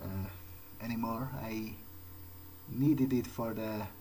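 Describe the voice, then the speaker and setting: A man's voice: on the low side, fairly even intonation, slow pace, dark tone, a little hiss or hum. A man roleplaying in character as he plays a video game, musing to himself in a hesitant, conversational way. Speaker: let's player. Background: game soundtrack music.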